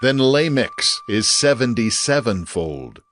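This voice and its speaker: A man's voice: medium pitch, very animated, takes it slowly, fairly bright tone, faint music behind. A man playing a boastful, vengeful ancient patriarch, declaiming proudly in a dramatized scripture reading. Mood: boastful menace.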